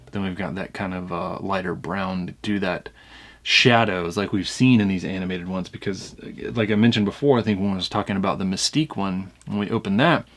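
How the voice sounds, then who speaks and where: A man's voice: deep voice, a little fast. A man hosting a casual unboxing review, talking conversationally and thinking aloud as he examines things. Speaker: toy review channel host.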